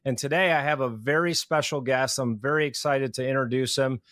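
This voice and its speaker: A man hosting a podcast interview, introducing guests in a measured, deliberate way with "uh" fillers. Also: medium pitch, fairly bright tone, no echo, clean studio sound. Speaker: podcast host.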